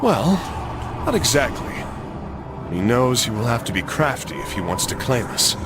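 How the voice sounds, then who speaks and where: A man's voice: mid-range voice, sing-song, normal speed, heavy background noise. An actor playing an earnest, vengeful young nobleman and cursed crusader in a video game, speaking with solemn drama. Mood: grave. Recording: loud music behind.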